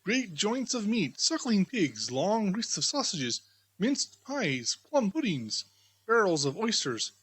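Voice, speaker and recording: A woman storybook reader giving scripted, expressive audiobook narration of a classic tale. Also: medium pitch, slightly rough, poor audio.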